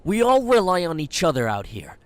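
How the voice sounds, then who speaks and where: A man's voice: high-pitched, wildly swooping pitch, fairly quick. A man playing a cocky young wasteland companion who talks earnestly and speaks his mind. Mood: concerned.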